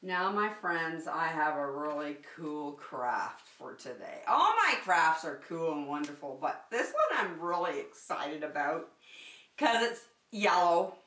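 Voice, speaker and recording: A woman's voice: sits low, very animated, unhurried, bright, forceful tone. A middle-aged woman hosting a children's circle time and craft lesson, speaking in a sing-song, encouraging way with simple phrases. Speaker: preschool circle time teacher and host. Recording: echoey room, distant microphone.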